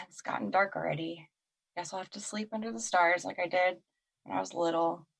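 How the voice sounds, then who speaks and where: A woman's voice: on the low side, steady tone, normal speed, gravelly, fairly bright tone. A woman playing a resentful, weary, neglected young woman in an audio drama, talking to herself in a wounded, sighing way. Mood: bitter, weary.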